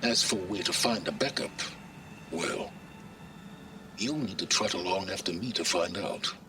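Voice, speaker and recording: An actor playing a condescending, cunning older male scientist in a video game, speaking in a haughty, eloquent, measured way. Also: sits low, far from the mic, noisy background. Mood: smug, lecturing. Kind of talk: video game dramatic acting.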